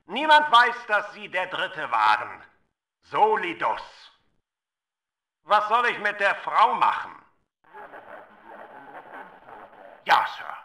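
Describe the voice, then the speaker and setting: An older gunslinger interrogator from a video game, smug, cruel and theatrical. His delivery is slow and deliberate, with big swings in pitch and a hard, forceful edge. The sound is echoey and reverberant. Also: heavily acted. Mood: obedient, conspiratorial. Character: sadistic older male Russian gunslinger interrogator, menacing and smug. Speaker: voice actor in a video game dub.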